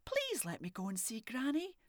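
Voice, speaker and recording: Scottish lady doing a wee girl, high and begging, voice jumps all over. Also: huge pitch swings, moderate pace, no room sound, clean studio sound, heavily acted. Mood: pleading. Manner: high, breathless pleading. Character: young Scottish girl, eager and pleading.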